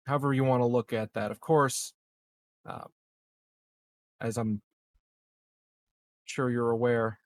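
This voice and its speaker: Man playing a slow, gravelly colony leader. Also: on the low side, exaggerated voice. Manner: halting, hesitant, formal. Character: halting, official male colony leader.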